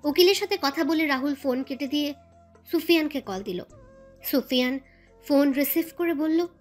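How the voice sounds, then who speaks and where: High-pitched woman narrating a story. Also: animated, normal speed, faint music behind.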